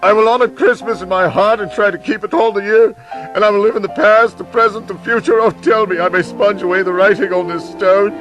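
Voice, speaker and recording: A man's voice: sits high, steady tone, a little fast, a bit bright, faint music behind, low-quality recording. An actor playing an old miser facing his own repentance in a live radio drama, theatrical and given to stammered repetitions. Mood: desperate, repentant.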